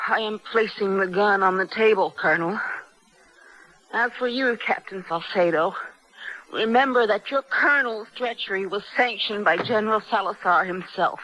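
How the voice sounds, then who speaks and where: An actress plays a defiant young American prisoner in a 1970s radio play. Her voice is mid-pitched with a tense, bright edge. She delivers her words with measured, vengeful deliberation. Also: natural voice, rough recording. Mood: steely, triumphant. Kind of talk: 1970s radio drama acting.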